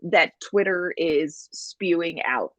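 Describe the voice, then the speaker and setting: A woman co-hosting a sports podcast in a chatty, animated way. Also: on the low side, slow, dry recording. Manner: chatty and animated, apologetic asides. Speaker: podcast co-host. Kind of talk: casual sports podcast chat.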